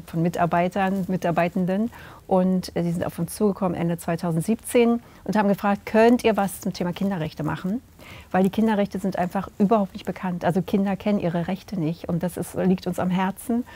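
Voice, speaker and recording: A middle-aged woman, a theatre director and drama teacher, answering interview questions in an animated way, often pausing to search for words. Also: lowish voice. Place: indoor room with curtains.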